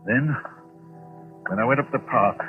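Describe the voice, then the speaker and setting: An actor playing a wealthy, irritable, middle-aged gentleman unravelling with dread, in agitated, theatrical 1940s radio style. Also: mid-range voice, a little slow, soft background music, natural voice. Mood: brooding unease. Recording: tinny old 1940s radio recording.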